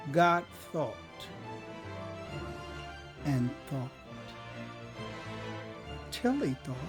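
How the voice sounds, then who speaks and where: Man reciting poetry with sweeping theatrical range. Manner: solemn, reverent, swelling from hushed whispers to booming declamation. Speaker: poet performing a dramatized poem. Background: music.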